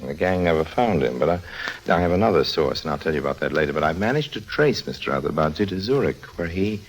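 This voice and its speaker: A man's voice: low voice, expressive, fast talker, a little hiss or hum, rough recording. An actor playing a wily, wisecracking con man in a 1950s radio drama, with a breezy, casual manner and sly asides. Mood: cagey, reporting. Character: wily wisecracking con-man and narrator of his own misadventures.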